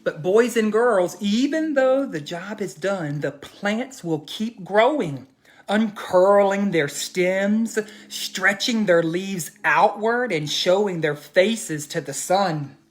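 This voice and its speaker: A man reading aloud and teaching for children, expressive and addressing them directly with questions. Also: sits high, slow pace, faint music behind. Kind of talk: children's storybook read-aloud and lesson.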